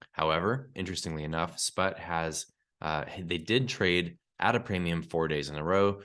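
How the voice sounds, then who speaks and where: A man with a very deep voice walks listeners through a market update podcast, sounding stuffy and congested from a cold. He keeps an even, analytical delivery and drops in the odd "uh" as he goes. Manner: steady analytical delivery with fillers. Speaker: podcast host and newsletter publisher.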